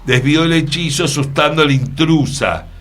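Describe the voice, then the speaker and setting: A man's voice: on the high side, moderate pace, fairly bright tone. A man with a Buenos Aires accent playing a courteous, mysterious adventurer telling his tale in a whimsical radio play. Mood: explaining, earnest. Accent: Argentine, Buenos Aires. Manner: theatrical, storytelling. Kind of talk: radio theatre dialogue.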